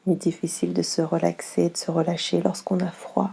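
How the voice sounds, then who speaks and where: A woman guides a relaxation session in a soothing, unhurried way. Her voice is heavily creaky and soft, with a husky breathiness. The delivery stays very even, leaving long pauses between short instructions. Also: lowish voice. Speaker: yoga nidra guide.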